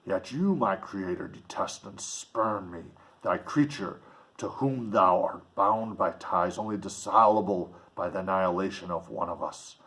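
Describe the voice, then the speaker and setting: A man's voice: deep voice, unhurried, a bit bright, voice pushed down. A man voicing a huge, bitter manmade monster in a storybook reading, speaking in solemn, archaic oratory. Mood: bitter, wretched.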